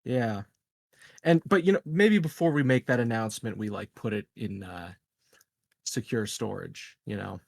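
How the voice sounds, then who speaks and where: A man's voice: lowish voice, normal speed, creaky, no echo. Hesitant man, dry close sound. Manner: hesitant, thinking aloud. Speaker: tabletop game player. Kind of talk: casual in-game planning talk.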